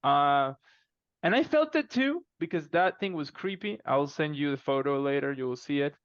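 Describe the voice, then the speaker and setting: A man's voice: a little high, animated, moderate pace, clean tone, no room sound. Man in a slightly dull, dry recording. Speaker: guest fiction author. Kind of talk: casual podcast interview.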